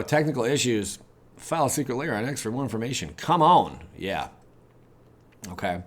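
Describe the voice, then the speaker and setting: An American man hosting a gaming channel, chatting casually and rambling informally as he reacts to what he reads, with mildly exasperated asides. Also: mid-range voice. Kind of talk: casual livestream chat, reacting to web pages.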